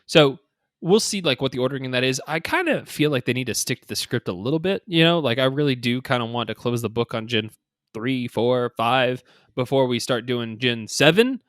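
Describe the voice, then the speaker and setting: A man's voice: medium pitch, animated, fairly quick, a bit bright, dry recording. Casual man co-hosting a podcast, dry sound. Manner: conversational, full of 'like' and 'you know'. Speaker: podcast co-host. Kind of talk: casual gaming podcast chat.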